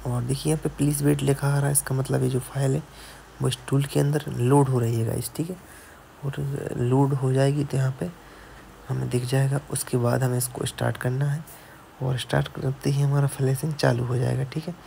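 A man's voice: medium pitch, little pitch movement, normal speed, gravelly, warm tone, a little hiss or hum. A man who repairs mobile phones, narrating a screen-recorded tutorial step by step and often checking in with the viewer. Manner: explains step by step, keeps adding "theek hai". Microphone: voice-over on a screen recording.

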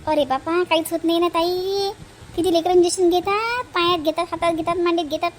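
Woman as high-voiced no-nonsense village nurse. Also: fairly quick, slight background noise. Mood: brisk, scolding. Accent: Vidarbha Marathi. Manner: instructing, matter-of-fact, mildly scolding.

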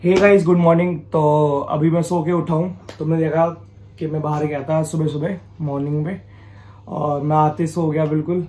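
A young man with a slightly high voice, chatting through his daily vlog while holding the phone camera at arm's length. Faint noise sits behind his voice. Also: level tone, moderate pace, mellow. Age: young adult. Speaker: vlogger. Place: small room at home and outdoors.

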